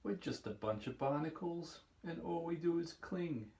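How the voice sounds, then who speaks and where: A man's voice: a little high, expressive, normal speed, gravelly, mellow, pitched above the performer's own voice. A humble storybook barnacle, voiced by a man, speaks with simple, modest contentment. The sound is echoey and somewhat distant from the mic. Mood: modest, content. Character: humble little barnacle, cheerfully content to cling. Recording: roomy echo, distant microphone.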